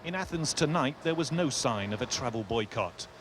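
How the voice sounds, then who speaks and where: A man narrating a scripted news report from the field, brisk and descriptive. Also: mid-range voice, animated, a little hiss or hum.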